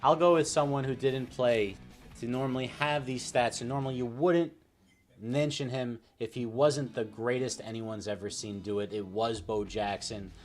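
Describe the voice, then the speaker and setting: A man's voice: medium pitch, fairly even intonation, moderate pace, faint music behind. A man hosting a panel show, talking sports in a casual debate style.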